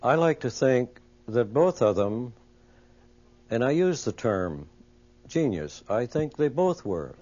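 A man's voice: mid-range voice, big pitch swings, takes it slowly, darkish tone. A man reminiscing conversationally about people he once worked with, as an interviewee. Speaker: interviewee recalling colleagues. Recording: dull, muffled interview recording.

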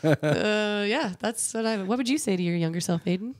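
A woman's voice: mid-range voice, lively intonation, moderate pace, no echo. Laughing, self-mocking woman in her thirties. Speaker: guest musician. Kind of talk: casual radio interview chat. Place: radio studio. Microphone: studio microphone.

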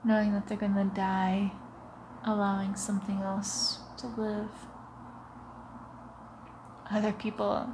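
A young female vampire, acted out by a woman for an ASMR roleplay, murmurs extremely slowly and teasingly. Her breathy voice often drops to a whisper, menacing and close to the mic, with wet mouth sounds. A clear bed of room noise sits behind her. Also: mid-range voice. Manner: slow, hushed, often slipping into whispers, teasing.

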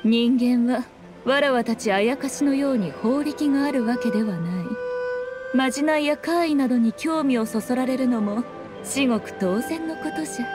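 A woman's voice: high-pitched, normal speed, over music, natural voice. A woman voicing a proud, sly, ageless fox-spirit shrine maiden in an anime game, with haughty, old-fashioned speech. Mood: composed.

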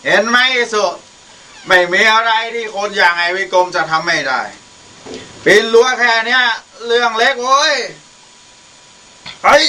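A man's voice: very high-pitched, a little slow, pauses often, bright, forceful tone, heavily acted, low-quality recording. A young man acting the role of a father, a brash drinker who turns repentant, in a student radio drama. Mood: drunken, belligerent. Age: young adult. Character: drunken brash father, later a repentant gentle monk.

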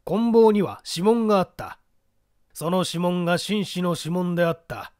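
A man's voice: high voice, normal speed, a little mellow, smooth, no room sound, clean studio sound, pitched above the performer's own voice, lightly acted. A man playing a condescending, self-assured police detective with a pompous manner in an audio mystery story. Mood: confident, dismissive.

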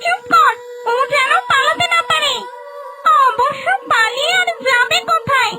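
A woman's voice: very high-pitched, unhurried. A woman voicing a vengeful, menacing witch in a fairy-tale cartoon, with threatening, commanding delivery. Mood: bossy threatening. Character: vengeful, menacing female witch hungry for immortality. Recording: music behind the voice.

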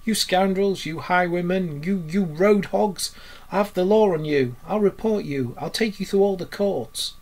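A man voicing a gruff, hot-tempered, no-nonsense water rat in a storybook, speaking firmly and emphatically. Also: fairly high pitch, expressive, normal speed. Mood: furious. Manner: firm, stern, emphatic. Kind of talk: storybook character voice.

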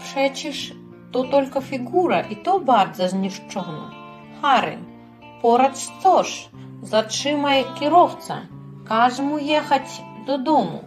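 A woman's voice: high voice, animated, takes it slowly, soft background music. A woman playing a haughty, fussy rich wife in a cartoon, demanding and complaining. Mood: suspicious, demanding. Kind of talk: amateur stage-play acting in a cartoon.

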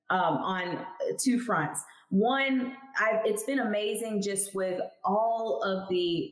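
A woman's voice: lowish voice, roomy sound, poor audio. A woman in her thirties, a chemist guest on a video call, telling her story candidly and emphatically. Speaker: guest, cannabis lab chemist. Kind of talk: casual interview talk. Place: home room, indoors, video call. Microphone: headphones on video call.

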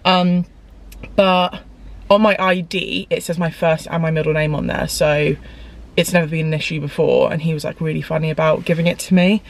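A young woman vlogging casually to camera, chatty and rambling with lots of fillers, her voice a bit croaky today. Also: sits low, fairly even intonation, moderate pace, slight background noise. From United Kingdom. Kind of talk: casual vlog chat to camera. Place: parked car, then home hallway. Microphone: camera on dashboard, then handheld camera.

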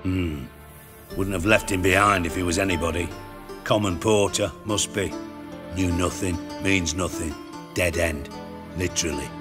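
A man's voice: sits low, steady tone, moderate pace, over music, natural voice. An actor playing an irritable, self-important male crime boss in a video game, sardonic, clipped and foul-mouthed. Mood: wry, testy. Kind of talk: video game dialogue acting.

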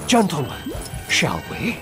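An actor playing a suave, scheming villain leader in a video game. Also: male voice, on the high side, huge pitch swings, takes it slowly, exaggerated voice. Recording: game audio with music. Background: music.

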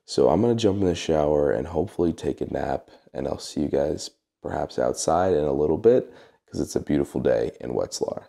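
Tired, low-voiced young man vlogging selfie-style. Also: animated, fairly quick, gravelly, dark tone. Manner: casual, upbeat, joking asides. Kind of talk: handheld travel vlog chat. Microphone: camera mic at arm's length.

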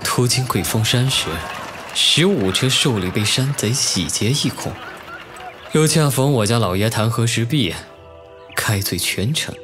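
An actor playing a proud, teasing and wistful young nobleman officer in an ancient-costume audio drama, with measured classical phrasing. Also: mid-range voice, expressive, music in the background, lightly acted. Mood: grim, recounting. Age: young adult.

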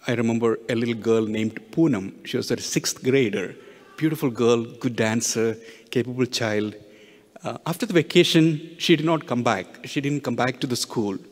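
A middle-aged man, a priest giving a homily with personal stories in an earnest, halting way, often restarting his phrases. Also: medium pitch. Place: church, at the pulpit. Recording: long hall reverb.

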